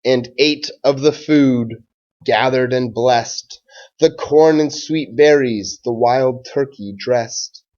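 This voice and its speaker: A man who is a schoolteacher doing a read-aloud for children and talking to pupils in a measured, simple way. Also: mid-range voice, a little mellow, highs cut off.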